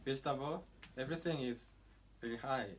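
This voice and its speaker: A man's voice: mid-range voice, brisk, a little hiss or hum. A young man, listing things word by word in an interview. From Japan. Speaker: exchange student. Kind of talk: casual interview answers.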